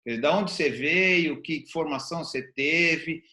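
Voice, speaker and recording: A man's voice: fairly high pitch, moderate pace, pushed and bright, roomy sound. A man with a Brazilian Portuguese accent, asking informal, colloquial questions as an interview host.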